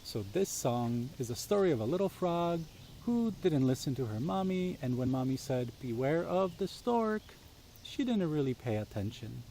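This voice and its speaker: A man's voice: a little high, big pitch swings, moderate pace, mellow, a little hiss or hum. A man tells children's stories outdoors in a simple, inviting way, speaking directly to his young listeners. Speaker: storyteller reading for children. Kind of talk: children's storytelling.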